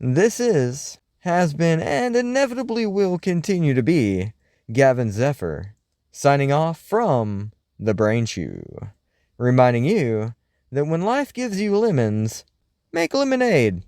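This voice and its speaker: A man playing a wry, uneasy host of a late-night paranormal radio show, speaking in a scripted, folksy, theatrical way with deliberate pauses. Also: fairly high pitch, very animated, darkish tone, no echo, studio quality, exaggerated voice. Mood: warm sign-off.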